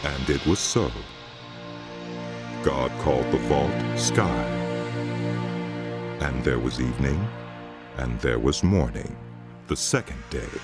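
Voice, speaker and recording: A man with an extremely low voice narrates scripture as a solemn drama. His delivery is slow and deliberate, with sweeping rises and falls, set over clearly audible music. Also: expressive.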